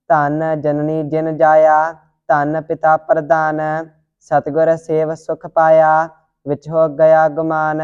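Monotone, slow scripture recitation. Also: mid-range voice, clean tone. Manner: steady, monotone, deliberate. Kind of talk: devotional scripture recitation, read line by line. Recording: dull lo-fi audio.

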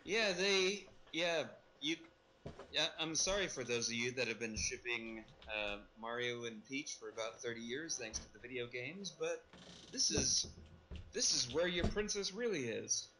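Casual male podcast panelist, bright and forceful, recapping films, with audible background noise. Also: medium pitch, expressive, unhurried, low-quality recording. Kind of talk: casual film discussion, recapping a movie.

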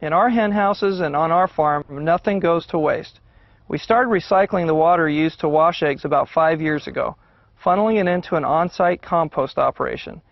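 A man in his forties speaks as a farmer explaining his work to students. His voice sits fairly high with a lot of gravelly fry, and the recording is slightly muffled. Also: no room sound. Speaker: third-generation egg farmer. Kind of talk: plain explanatory talk to students.

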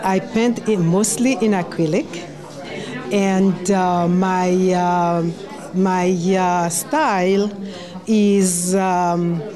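A woman's voice: fairly low pitch, steady tone, very slow, a crowd in the background. A woman from Haiti, an artist, answering politely with hesitant fillers. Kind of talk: casual interview. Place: indoor art gallery. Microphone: handheld mic held by interviewer.

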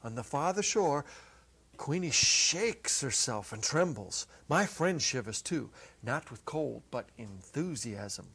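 Slow, deliberate male story narrator. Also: mid-range voice, gravelly, breathy edge. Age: middle-aged. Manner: expressive, deliberate, with dramatic pauses. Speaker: narrator, reader. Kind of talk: dramatic reading of a literary short story. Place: indoor set, seated at a music stand. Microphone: clip-on lapel.

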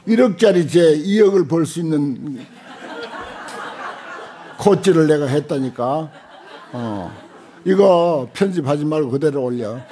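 An older man, a Buddhist monk giving an informal lecture in a halting, conversational way with short interjections. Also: fairly high pitch, animated, mellow. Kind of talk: informal dharma lecture with commentary on a text. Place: lecture room at a temple center, seated at a desk. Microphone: desk gooseneck microphones close in front.

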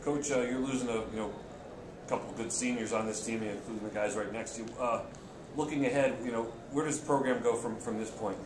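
A man's voice: mid-range voice, a little fast. A man, a reporter, asking players and coach questions at a press conference in a conversational way. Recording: echoey room, noisy.

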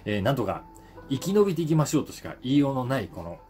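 A man in his forties chatting casually and reflectively to the camera, pausing to think as he goes. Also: medium pitch, sing-song, over music. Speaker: voice actor and stage actor, channel host. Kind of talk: casual solo talk to camera, daily column.

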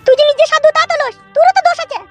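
A performer voicing a fed-up eighteen-year-old village daughter in a comedy cartoon, with a rural West Bengal accent and a pitched-up voice. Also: rapid-fire, faint music behind. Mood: reproachful.

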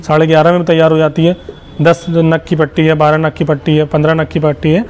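A man's voice: on the high side, completely flat delivery, fast talker, darkish tone. A young man with an Uttar Pradesh Hindi accent, a goat farm owner showing his goats and quoting prices in a casual walkthrough, talking like a salesman and leaning on repeated fillers. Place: outdoor goat farm pens.

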